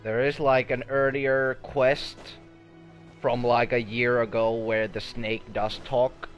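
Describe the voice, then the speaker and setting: A man's voice: mid-range voice, flat delivery, slow pace. A man giving casual live commentary as a game streamer, chatty and laid-back. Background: game music.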